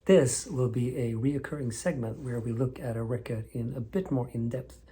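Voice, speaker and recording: A man from northern Sweden, in his thirties, hosting a vinyl record channel in enthusiastic, measured scripted narration. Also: mid-range voice, mellow.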